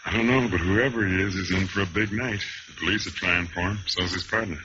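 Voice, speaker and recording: Noisy, dull old radio recording. A male actor as a wary speakeasy musician with a low voice. He sounds jittery and confiding, in radio noir style. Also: brisk, close to an everyday voice. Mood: uneasy. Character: nervous, wary speakeasy jazz pianist, adult man. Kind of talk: 1950s radio crime drama acting.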